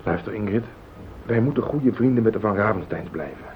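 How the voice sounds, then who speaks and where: Actor as rattled, evasive husband, low voice. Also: male voice, lively intonation, brisk, lightly acted. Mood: agitated, insistent. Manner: agitated, pleading, self-justifying. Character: rattled, evasive husband hiding a car accident. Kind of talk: Dutch radio play acting. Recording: muffled phone-like old radio recording, rumbling hiss.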